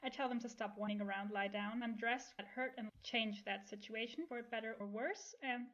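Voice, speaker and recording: Higher-voiced woman, playful, lo-fi recording. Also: fairly even intonation, brisk, a bit bright. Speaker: podcast guest, audio play actor. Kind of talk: casual banter. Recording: dull lo-fi sound.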